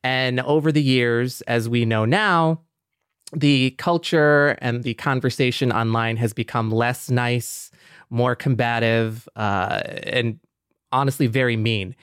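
A man's voice: mid-range voice, fairly even intonation, slow, a bit bright, smooth, dry recording. Man co-hosting a podcast, very dry sound. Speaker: podcast co-host.

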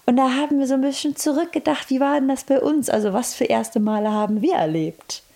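Chatty podcast woman, kinda high voice with lots of vocal fry. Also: fast talker, mellow. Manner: chatty, laughs easily. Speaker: podcast co-host. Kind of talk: casual podcast chat.

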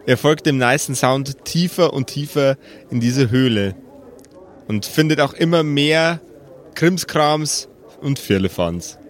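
A man narrating an improvised tabletop game as game master, deliberately and atmospherically. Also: medium pitch.

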